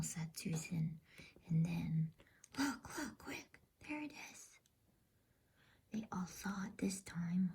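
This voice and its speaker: Woman whispering asmr bedtime stuff, super soft n soothing, slow, bit of crackle in the voice. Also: lowish voice. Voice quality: breathy whisper. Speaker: host of a bedtime reading channel. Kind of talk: whispered ASMR storybook reading.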